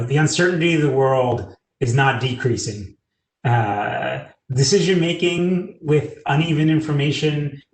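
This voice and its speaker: A man's voice: mid-range voice, echoey room, a little hiss or hum, low-quality recording. A man with an American accent, answering interview questions in an earnest, fluent way with frequent "you know" fillers. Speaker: interviewee, tech company public sector lead. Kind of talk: conversational panel interview.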